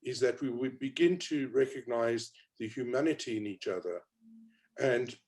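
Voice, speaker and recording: Man, South African accent, middle-aged, mellow tone, reflective, hesitant, panel talk. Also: mid-range voice, normal speed. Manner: reflective, hesitant with many fillers. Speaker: panel speaker. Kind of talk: panel discussion.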